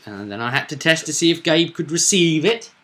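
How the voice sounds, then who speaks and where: A man's voice: on the high side, expressive, brisk, bright, forceful tone. A man chatting as a game master, recounting a tabletop roleplay session with emphatic, dramatic storytelling.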